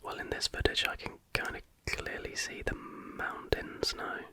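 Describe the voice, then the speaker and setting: A British ASMR host whispering casual, chatty reaction commentary in a hushed, informal, rambling way. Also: a little slow.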